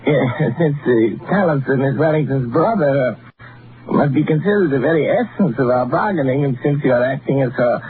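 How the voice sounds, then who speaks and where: An actor playing an overly polite, sinister male visitor in a vintage radio drama, with a gravelly voice and formal, hesitant phrasing. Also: mid-range voice, expressive, normal speed. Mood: courteous, hesitant. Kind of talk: 1940s radio drama acting. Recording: tinny, noisy vintage radio recording.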